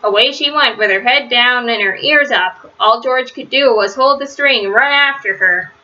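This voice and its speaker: Female children's read-aloud narrator, bright and crisp-edged, deliberate storybook phrasing, slightly muffled lo-fi recording. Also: on the high side. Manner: simple, deliberate phrasing with stressed words for young listeners. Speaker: storybook reader.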